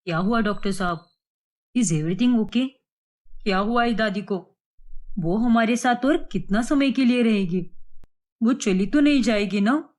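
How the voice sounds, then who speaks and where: A woman's voice: fairly high pitch, measured pace, pauses often, lightly acted. A woman voicing a worried, devoted young grandson in an earnest cartoon melodrama. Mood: anxious.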